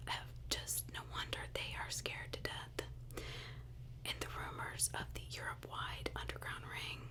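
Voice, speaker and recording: A woman whispering a true crime story in ASMR style, in a conversational way that puts questions to the listener. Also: normal speed.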